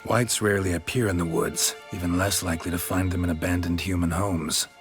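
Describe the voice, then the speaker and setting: A man acts out a stoic monster hunter for a video game in a very low voice. He is sardonic and pragmatic, dropping words into short, deadpan phrases, with faint music underneath. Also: fairly quick, lightly acted. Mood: businesslike. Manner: terse, clipped, deadpan. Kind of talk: video game dialogue acting. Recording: music behind the voice.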